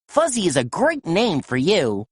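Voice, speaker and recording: A woman voicing an eager, clumsy young male dalmatian pup in an excited, bouncy voice. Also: lowish voice, unhurried, husky, no room sound, studio quality, exaggerated voice.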